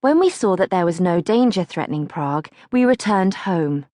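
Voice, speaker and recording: Expressive woman narrator, very dry recording. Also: on the low side, normal speed, no echo. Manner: expressive, acts out questions. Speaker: audiobook narrator. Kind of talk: scripted audiobook narration of a childhood diary.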